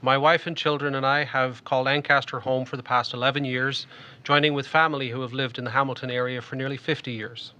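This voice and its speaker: Bright-voiced Irish man giving a campaign pitch. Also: flat delivery, fast talker, dull audio. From Ireland. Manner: formal, upbeat speech delivery. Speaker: election candidate. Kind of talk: prepared campaign statement.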